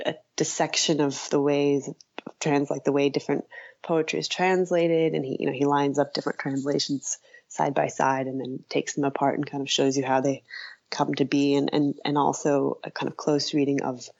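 A woman speaking as an interview guest, hesitant and halting as she thinks aloud in casual conversation. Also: low voice, gravelly, a little mellow, highs cut off. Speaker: interview guest, writer and author.

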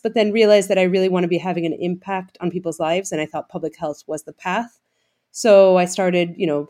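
A woman's voice: lowish voice, darkish tone. A woman from Greece, a guest in an interview, speaking thoughtfully and earnestly with frequent fillers. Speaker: guest, public health director and former congressional candidate. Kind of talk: conversational interview.

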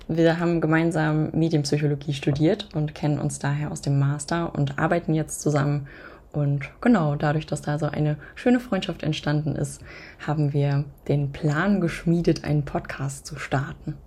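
Low, mellow-voiced woman in her twenties. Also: fairly even intonation, normal speed, husky. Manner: reflective, long winding sentences. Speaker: podcast guest. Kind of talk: casual interview talk.

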